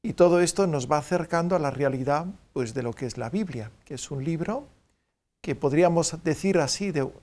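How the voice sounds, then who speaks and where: A middle-aged man answers as a studio interview guest. His voice sits a little high, and he explains in a measured, didactic way with some hesitation. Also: a little mellow. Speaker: guest, priest and Bible professor. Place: dark TV studio at a table. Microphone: studio microphone on a stand.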